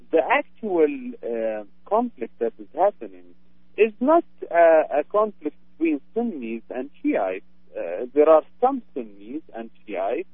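A man's voice: fairly high pitch, no room sound. A man from Iraq, a radio interview guest who speaks hesitantly with many fillers. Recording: dry, phone-like narrow sound.

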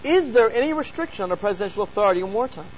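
A man with a high voice hosts a call-in radio show and fires off questions at a brisk clip. He pushes hard against the other side. The broadcast sound is dull and lo-fi, with a faint hiss underneath. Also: lively intonation, over a noisy crowd. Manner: pressing, rapid questioning. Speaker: radio talk show host.

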